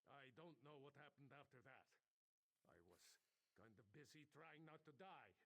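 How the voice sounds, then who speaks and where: An actor playing a nervous, wry mine boss in a video game, speaking a little hesitantly. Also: male voice, mid-range voice, expressive, moderate pace, fairly bright tone. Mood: wry, uneasy. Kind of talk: video game character acting.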